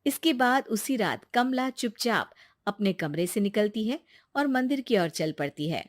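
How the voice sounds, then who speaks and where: A woman's voice: a little high, expressive, measured pace, dry recording, clean studio sound. A woman narrating a scripted story as its storyteller.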